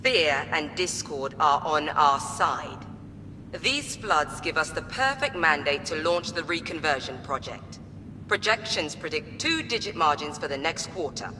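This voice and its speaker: An actress playing a middle-aged, cold and embittered corporate president in a video game, speaking in a measured, formal, imperious way. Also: female voice, sits low, sing-song, noisy background. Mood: cold, commanding. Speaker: company president. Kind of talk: dramatic video game cutscene acting. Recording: game music behind the voice.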